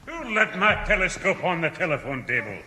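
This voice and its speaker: An older Northern English grandfather in a radio comedy, gruff but fair. His delivery is exclamatory and swings widely, with a bright, fairly high tone in a reverberant studio. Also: sing-song, a little fast. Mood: blunt. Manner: blunt, exclamatory. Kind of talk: radio sitcom acting. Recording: reverberant, slightly noisy old radio recording.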